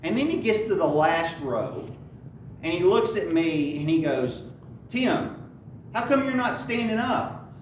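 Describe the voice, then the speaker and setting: A middle-aged man preaching a sermon, telling stories and climbing higher in pitch to stress his points, with frequent restarts. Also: sing-song, unhurried. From Lebanon, Tennessee area. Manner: storytelling, rises in pitch for emphasis, frequent restarts. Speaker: pastor. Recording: echoey room from a distant mic, faint noise.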